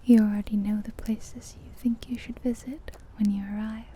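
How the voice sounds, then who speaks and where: A woman softly narrating an ASMR guided visualization in a breathy, whispery, soothing voice, close to the microphone, with gentle pauses. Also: fairly high pitch, steady tone, a little hiss or hum. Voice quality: breathy, whispery soft-spoken voice. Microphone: close ear-to-ear ASMR microphone.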